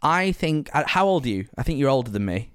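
A man's voice: medium pitch, very animated, fast, fairly bright tone, clean tone. A man, interviewing in a casual, teasing way. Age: 20s. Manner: teasing, bantering. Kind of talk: casual chat.

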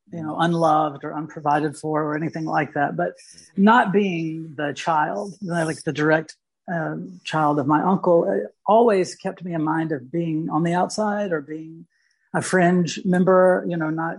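A man from Mississippi, a guest artist talking thoughtfully in an interview, pausing and restarting as he searches for words. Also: a little high, steady tone, gravelly, slightly rough. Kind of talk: reflective interview talk.

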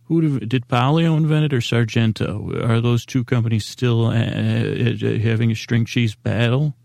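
A man hosting a sleep podcast in a casual, meandering monologue, halting and mumbling in a self-deprecating way. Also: medium pitch, steady tone, vocal fry, darkish tone, no room sound, studio quality. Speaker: podcast host.

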